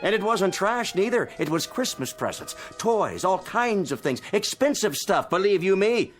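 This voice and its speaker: An actor playing a gruff, plain-spoken American police officer in a radio drama, with a blunt, folksy way of talking. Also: male voice, high voice, very animated, normal speed, faint music behind. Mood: gruff, exasperated. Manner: folksy, blunt, ungrammatical cop talk.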